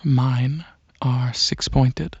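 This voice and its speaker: A man voicing a regal, courteous dragon alien prince in a soft-spoken ASMR roleplay, with a husky, breathy voice and a hesitant, musing, explaining manner. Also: mid-range voice, steady tone, drawn-out words, no echo, lo-fi sound, exaggerated voice. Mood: soft, proud.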